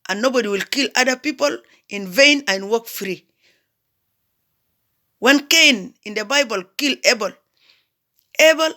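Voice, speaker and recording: A woman pleads and preaches with repeated, swelling phrases. Her voice is bright and forceful, with a gravelly edge of fry. She has the cadence of a sermon and pushes hard on key words. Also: mid-range voice. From South Sudan. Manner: preaching, repetitive, rising to emphatic cries. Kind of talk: impassioned public appeal.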